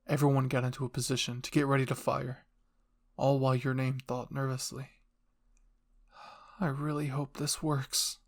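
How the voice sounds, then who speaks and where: Man narrating fan fiction, medium pitch. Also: gravelly. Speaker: narrator and channel host.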